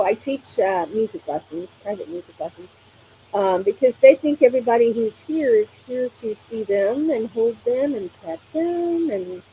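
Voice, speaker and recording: Slow, chatty woman host. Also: medium pitch, warm tone, a little hiss or hum, low-quality recording. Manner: slow, chatty, laughs often, many fillers. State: slightly hoarse from allergies. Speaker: crafting livestream host. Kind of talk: casual livestream chat, reading comments aloud.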